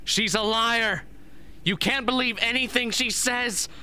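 An actor playing a traumatized, paranoid young man in a radio drama, whispering and shouting his hateful accusations. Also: very high-pitched, a little slow, a little hiss or hum, heavily acted. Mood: frantic, accusing. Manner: whispering, building to frantic shouting.

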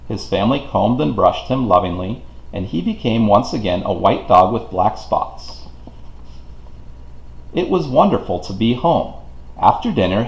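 A man reading a children's storybook aloud in an expressive, deliberate way for young listeners. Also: on the low side. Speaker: children's book reader. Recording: roomy, echoing home recording.

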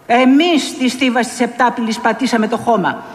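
A woman playing a proud, defiant young male warrior captain in a staged epic recitation, boastful and forceful in retort. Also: fairly high pitch, fairly even intonation, pitched above the performer's own voice, natural voice. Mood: indignant, boastful. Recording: echoey hall with long reverb.

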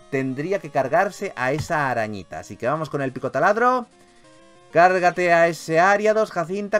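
A man with a Castilian accent from Spain giving excitable, sweary let's play commentary as a gaming YouTuber. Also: fairly high pitch, smooth. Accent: Castilian Spanish from Spain. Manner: animated, expressive, swears freely.

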